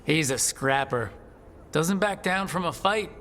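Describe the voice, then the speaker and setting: An actor playing a bold, protective young hero in a video game, blunt and direct. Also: male voice, on the high side, animated, measured pace, pushed and bright, a little hiss or hum. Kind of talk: video game dialogue acting. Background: music.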